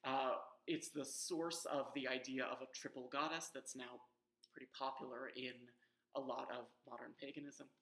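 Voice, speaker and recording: A man giving a casual explanatory lecture on witchcraft history, pausing often on "um" and "uh" and dropping wry, frank asides. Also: mid-range voice, level tone, a bit bright, reverberant.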